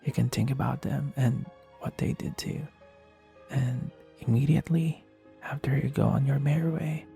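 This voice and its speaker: A man playing a gentle, caring best friend, speaking in a soft, breathy voice with soothing, earnest, unhurried delivery in an intimate comfort roleplay over music. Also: mid-range voice, fairly even intonation, close to an everyday voice. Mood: calm, consoling. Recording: music under the voice. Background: soft instrumental music.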